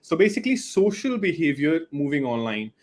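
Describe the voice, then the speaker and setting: A man in his late twenties, a guest on a casual podcast, talking with quick, enthusiastic bursts. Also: on the high side, sing-song, a little mellow. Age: 20s.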